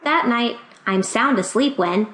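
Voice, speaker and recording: A woman reading a children's picture book aloud in an expressive way, acting out the dialogue. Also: fairly high pitch, unhurried, background noise. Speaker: storybook reader. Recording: roomy, echoing sound.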